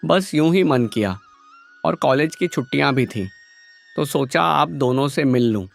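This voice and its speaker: A man's voice: fairly high pitch, animated, measured pace, gravelly, soft background music. A man playing a friendly, confident young college student visiting his village in a moral story. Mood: affectionate, relaxed. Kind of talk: animated moral story dialogue.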